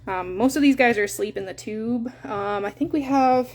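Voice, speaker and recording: Canadian gal rambling on a live stream, bit high-pitched, lots of uh and um. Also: female voice, normal speed, a little mellow. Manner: chatty, full of fillers, coos at the babies. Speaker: hamster breeder streaming live. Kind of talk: casual live-stream chat.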